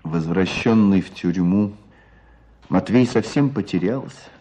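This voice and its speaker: An actor playing a thoughtful storyteller, narrating a Russian radio drama in a measured, literary, expressive manner. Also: male voice, sits low, breathy edge. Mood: reflective. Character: thoughtful male storyteller recounting a tale. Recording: radio play with faint background noise.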